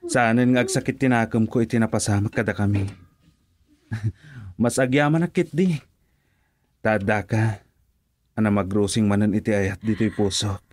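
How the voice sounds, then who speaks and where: A man's voice: on the low side, moderate pace, pauses often, a little mellow, dry recording, lightly acted. A man with an Ilocano accent acting an earnest, emotional man in a romantic radio drama. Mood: tender.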